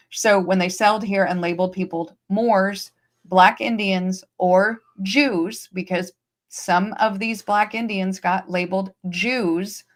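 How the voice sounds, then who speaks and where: Woman host on a stream, medium pitch, explains stuff emphatically, repeats her points. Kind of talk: livestream discussion. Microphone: streaming setup.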